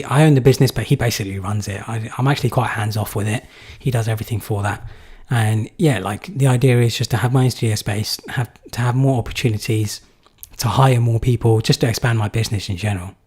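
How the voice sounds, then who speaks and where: A man's voice: mid-range voice, fairly even intonation, a little fast, darkish tone, slightly rough. A young man from England answering viewer questions in a live stream, casual and rambling with many fillers. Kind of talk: live Q&A chat.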